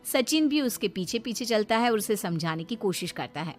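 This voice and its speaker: A woman's voice: medium pitch, expressive, normal speed, faint music behind. A woman giving scripted narration for a Hindi cartoon story.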